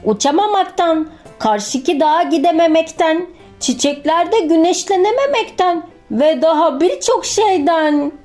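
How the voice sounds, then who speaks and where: A woman's voice: very high voice, lively intonation, measured pace, few pauses, darkish tone, faint music behind. A woman voicing an impatient, eager young caterpillar in a children's storybook, with a complaining childlike delivery. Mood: bored, impatient.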